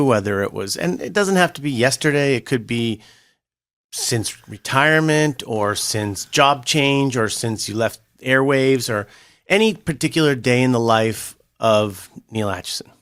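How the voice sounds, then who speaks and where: A man's voice: mid-range voice, fairly bright tone, no room sound, clean studio sound. A man co-hosting a podcast, asking questions in a playful, hesitant way. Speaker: podcast co-host. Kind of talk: casual conversation.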